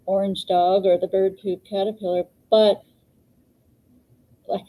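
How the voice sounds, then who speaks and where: A middle-aged woman with a mellow, soft-edged voice at a middle pitch, chatting easily and laughing between thoughts. She speaks into a webcam as co-host of a live gardening show. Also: poor audio. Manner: chatty, laughs often, many ums. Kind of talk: live online plant advice show. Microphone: webcam on a video call.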